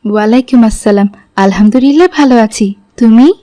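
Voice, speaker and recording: Woman playing a measured, high-voiced devout mother. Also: dark tone, natural voice. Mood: gentle, instructive. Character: devout, gentle mother guiding her children. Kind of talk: Islamic cartoon dialogue.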